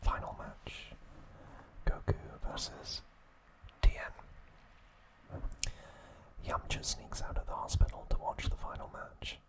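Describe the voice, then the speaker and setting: A man hosts an ASMR recap entirely in a whisper. He speaks very slowly and softly, laying out the story in short, measured phrases with pauses between them. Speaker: ASMR channel host.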